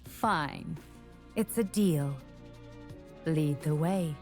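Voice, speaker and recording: A woman's voice: low voice, very slow, darkish tone, faint music behind. An actress playing an impatient woman fighter who talks in clipped, dismissive lines. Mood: curt.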